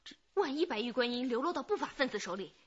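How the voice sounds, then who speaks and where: An actress playing a concerned, serious police detective in a radio drama. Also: female voice, sits high, lively intonation, quick pace, husky, lo-fi sound. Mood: worried.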